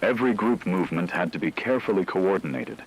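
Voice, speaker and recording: A man reading scripted, documentary-style dramatic narration in a measured, solemn way. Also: sits low, breathy edge, rough recording.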